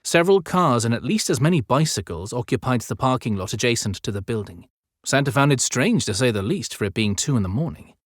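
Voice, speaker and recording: A man's voice: medium pitch, expressive, brisk, no room sound, clean studio sound. A man narrating scripted fiction as an audiobook storyteller.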